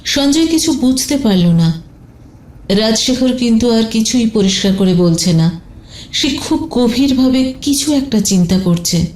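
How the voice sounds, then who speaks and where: A woman's voice: on the high side, lively intonation, a little slow, a little mellow, reverberant. A woman narrating a scripted detective audio story in a dramatic storytelling style.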